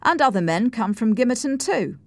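Woman playing a bold, sly gentleman villain. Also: a little high, expressive, moderate pace, no room sound, pitched above the performer's own voice. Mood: gloating. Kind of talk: audiobook character voice.

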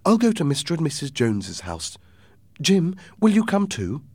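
A male narrator puts on a worried mother from a children's adventure story. The pitch is raised and lively, with earnest, emphatic phrasing. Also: moderate pace, slightly rough, no echo, heavily acted. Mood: worried, relieved. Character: anxious, earnest mother of a boy.

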